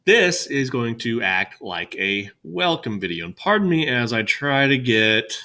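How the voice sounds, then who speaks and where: A man's voice: medium pitch, sing-song, unhurried, sharp tone. Boisterous chatty male teacher. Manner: rambling, chatty with many fillers. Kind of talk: casual classroom welcome talk. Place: empty classroom. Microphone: webcam.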